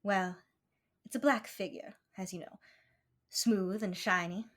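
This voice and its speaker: A woman acts out a femme fatale from a vintage radio drama. Her voice is medium in pitch and hesitant. She sounds coy, evasive and world-weary. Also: fairly even intonation, unhurried, a bit bright. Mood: coy, guarded. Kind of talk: 1940s radio play acting.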